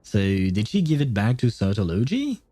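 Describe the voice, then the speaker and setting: A man's voice: lowish voice, very animated, normal speed, noisy background. A man livestreaming a video game with casual, chatty commentary, thinking aloud as he plays. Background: soft game music.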